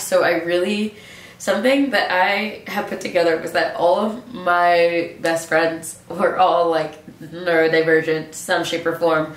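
A young woman with a stuffy nose, musing casually to camera in a relaxed way, with lots of filler words. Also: fairly low pitch. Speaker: vlogger. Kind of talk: casual reflective monologue to camera. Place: small room indoors, seated in a hanging chair. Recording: roomy echo, mic at a distance.

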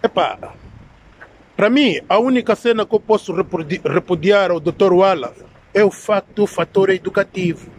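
A man in his thirties with an Angolan accent giving emphatic, opinionated commentary to his phone, repeating himself and pausing to search for words. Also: high voice, darkish tone. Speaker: social media commentator. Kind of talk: opinionated vlog commentary to camera. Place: outdoors in an alley beside brick buildings. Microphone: phone at arm's length. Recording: clear outdoor street and traffic noise.

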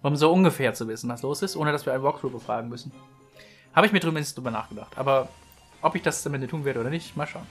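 A man's voice: medium pitch, fast, soft background music. A man chatting casually while playing a video game, rambling and self-mocking with frequent laughs. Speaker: let's play co-host. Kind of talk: casual gaming chat.

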